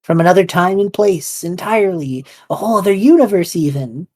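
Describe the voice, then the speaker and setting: A woman's voice: lowish voice, lively intonation, a little fast, darkish tone, slightly rough. A woman playing a nervous, hesitant young man who is out of his depth. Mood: flustered. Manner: unsure, flustered, hesitant. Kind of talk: fan audio drama acting.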